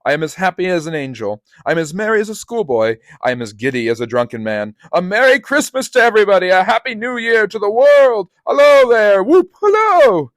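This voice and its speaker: A giddy old miser whoops and cries out in high, loud bursts, as a man puts him on. The storybook reading is dry and close, with no room around it. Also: big pitch swings, very slow, hardly any pauses, dry recording, heavily acted. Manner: giddy, shouting, laughing.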